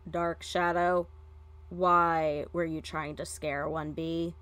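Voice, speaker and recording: A woman voicing a weary, stern but kind male teacher in a story, deadpan in manner. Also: low-pitched, slow pace, dry recording. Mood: questioning.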